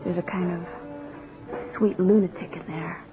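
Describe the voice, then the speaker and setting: A woman's voice: on the low side, fairly even intonation, unhurried. An actress playing a flirtatious, bold female writer in a radio drama, teasing and languid in her delivery. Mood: teasing, coaxing. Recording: thin phone-like radio audio, music behind.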